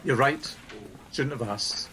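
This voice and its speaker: A man's voice: mid-range voice, slow pace, sharp tone, slight background noise. A man playing an earnest, tactful but insistent supervisor in a naturalistic radio play. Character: earnest, firm male supervisor of a helpline team.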